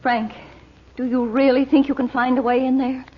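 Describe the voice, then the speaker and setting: A woman playing a concerned, restraining mother in an old radio serial, earnest and gently admonishing. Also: sits high, flat delivery, moderate pace, dull audio.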